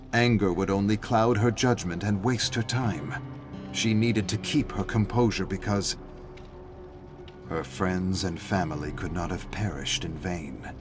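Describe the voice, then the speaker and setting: An actor playing a grave, brooding storyteller, narrating dark tales in a measured, theatrical delivery. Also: male voice, on the low side, close to an everyday voice. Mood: intense, resolute. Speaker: narrator of game lore logs. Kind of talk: dramatic scripted narration.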